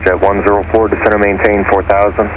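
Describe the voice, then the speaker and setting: Over an aviation radio, a male air traffic controller gives clipped instructions. He speaks fast in a low monotone. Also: muffled recording.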